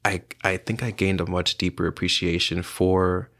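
A man's voice: low-pitched, little pitch movement, moderate pace, fairly bright tone. A young man from south Florida, a writer and visual artist, answering podcast questions in a reflective, hesitant way with many fillers. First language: Spanish. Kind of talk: podcast interview.

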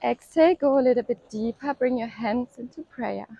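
A woman leading a home yoga workout, calmly cueing breaths and poses and encouraging the listener to keep going. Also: a little high, moderate pace, vocal fry, dry recording, highs cut off. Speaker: yoga instructor. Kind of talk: guided workout instruction.

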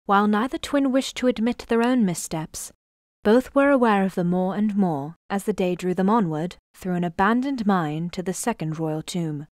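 A woman playing the narrator of a fantasy audio drama, reading scripted lines in a solemn, measured, formal way. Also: mid-range voice, no room sound, clean studio sound, natural voice.

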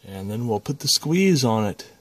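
A man's voice: mid-range voice, sing-song, moderate pace, husky, no echo. A man presenting a hands-on repair tutorial in a casual, unscripted, folksy way, talking himself through the work. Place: indoor electronics workshop. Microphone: handheld phone camera.

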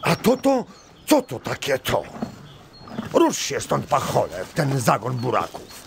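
Casting: haughty medieval knight for kids' audio drama, swooping blustery delivery, clicky noisy recording. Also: male voice, mid-range voice, huge pitch swings, gravelly, heavily acted. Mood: haughty, irritated. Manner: haughty, archaic, drawn-out boasting. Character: pompous, blustering medieval knight, archaic speech. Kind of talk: children's radio play.

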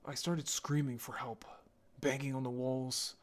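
A man plays an awkward young zombie guy in an intimate audio roleplay. He talks slowly in a mid-pitched voice, stammering and hesitating, shy and gentle with whoever he is talking to. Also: level tone, lightly acted. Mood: somber, recounting.

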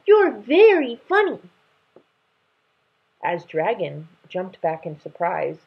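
Low, husky young woman reading aloud. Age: young adult. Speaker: storybook reader. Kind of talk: expressive read-aloud narration. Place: small room at home, indoors. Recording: dull, lo-fi webcam sound.

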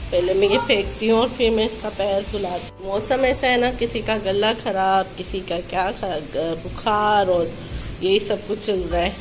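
A woman's voice: medium pitch, low-quality recording. Chatty woman with a sore throat. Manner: chatty, laughs often, talks to the viewers. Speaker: vlogger. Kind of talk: casual home vlog chat. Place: apartment by a window, rain outside. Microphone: handheld phone camera. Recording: phone recording with loud rain noise.

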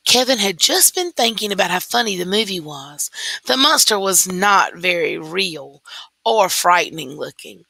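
A woman teacher reading a story aloud slowly and deliberately into a computer microphone. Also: fairly low pitch, lively intonation, vocal fry, sharp tone, no room sound. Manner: slow, deliberate read-aloud.

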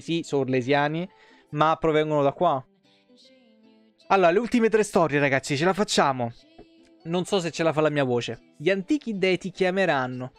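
A man in his thirties with a slightly high voice reads fantasy game lore aloud. His delivery is expressive, dropping into informal streamer asides. Soft plucked guitar music plays behind him. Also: no room sound. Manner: expressive reading, breaking off for informal comments. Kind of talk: reading game codex text aloud with casual asides. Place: small indoor room, streaming setup.

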